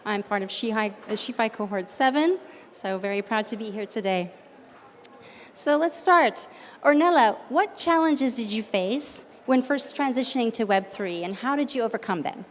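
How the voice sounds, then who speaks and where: A woman's voice: fairly high pitch, expressive, moderate pace. A woman moderating a panel, addressing guests and audience as host. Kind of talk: hosting a panel. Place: summit event room. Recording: noisy reverberant event hall. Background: faint room noise.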